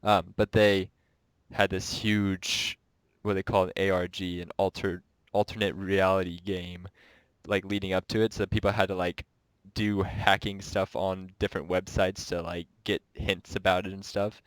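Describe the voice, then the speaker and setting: Casting: congested, nasal young male co-host with a deep voice, dry close sound, rambling delivery. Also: little pitch movement, moderate pace, smooth, no echo, low-quality recording. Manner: rambling, restarts sentences often. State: sick and stuffed up. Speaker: podcast co-host. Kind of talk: casual gaming podcast chat.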